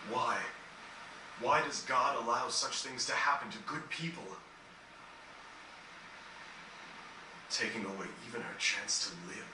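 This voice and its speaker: A man playing a gentle, melancholy dying composer in a fantasy game, speaking in a reflective, earnest, measured way with formal phrasing. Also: medium pitch, close to an everyday voice. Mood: anguished grief. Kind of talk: dramatic game cutscene dialogue. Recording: distant, echoey, noisy game capture.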